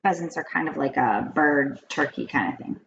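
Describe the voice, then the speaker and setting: A woman reading a children's story aloud, narrating in an expressive, informal way. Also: low-pitched, moderate pace, vocal fry. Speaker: storybook reader. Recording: slightly muffled, faint background noise.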